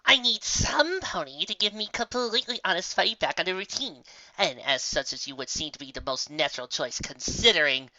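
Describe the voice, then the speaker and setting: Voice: medium pitch, lively intonation, normal speed, pushed and bright, no echo, lo-fi sound, pitched above the performer's own voice. A reader voicing a haughty, dismissive female unicorn stage magician in a fan story, with grandiose, faintly condescending delivery.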